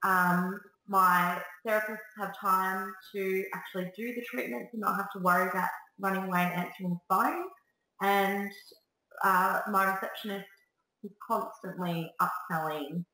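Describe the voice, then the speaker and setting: A woman's voice: on the low side, fairly bright tone, slight background noise. Upbeat woman in an echoey salon room. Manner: chatty, upbeat, with fillers. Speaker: salon owner giving business tips. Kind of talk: direct-to-camera business advice. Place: small salon room, indoors.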